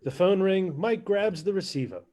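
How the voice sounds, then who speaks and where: Man, high-pitched, narrator, scripted table read, stage directions, matter-of-fact, slightly halting. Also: expressive, dark tone.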